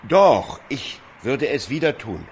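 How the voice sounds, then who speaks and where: A man playing a disappointed witness answering haltingly in a radio drama interview. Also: mid-range voice, sing-song, a little hiss or hum.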